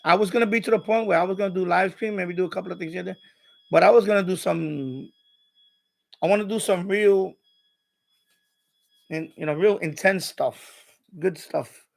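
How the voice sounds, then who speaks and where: A man's voice: high-pitched, moderate pace, creaky, pauses often. An older man hosting a live stream with casual, rambling chat, often hesitating and repeating himself.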